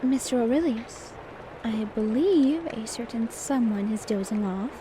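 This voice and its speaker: A woman playing a poised, particular maid servant in an ASMR roleplay, speaking softly in a formal, measured way. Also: fairly high pitch, animated. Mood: polite. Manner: soft, formal, measured, prim. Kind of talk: soft-spoken ASMR roleplay with poetry reading. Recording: clear background ambience noise.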